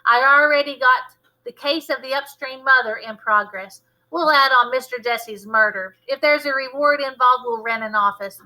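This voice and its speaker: A woman acts out a bold young girl who leads a detective scheme in a children's story. Her voice is high and strikingly bright. She is emphatic, bossy and sure of herself. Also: moderate pace, rough recording. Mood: determined, upbeat. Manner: confident, emphatic and take-charge. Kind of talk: children's novel read-aloud.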